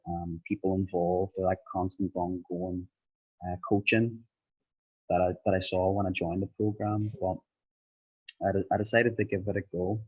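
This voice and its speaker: A young Irish man telling his story in an interview, pausing often with ums. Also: sits low, slightly rough. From Ireland. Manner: hesitant, many ums, rambling. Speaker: interviewed student. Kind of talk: casual interview. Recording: dull lo-fi sound.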